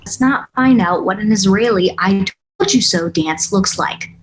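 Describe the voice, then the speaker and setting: A woman playing a teasing, bossy agent who coaches a colleague, in a deadpan table-read delivery. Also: mid-range voice, normal speed, slight background noise, natural voice. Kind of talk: screenplay table read acting.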